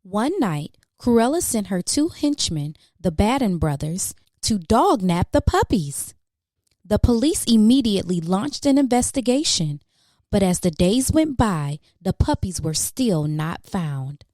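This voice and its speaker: A woman narrating a children's storybook expressively, with measured, dramatic emphasis for young listeners. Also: medium pitch, big pitch swings, dark tone, no echo. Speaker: children's book reader.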